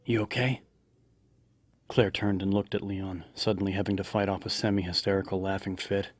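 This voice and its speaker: A man's voice: sits low, completely flat delivery, brisk, dry recording. A man reading scripted horror fiction as a dramatic audiobook narrator. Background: background music.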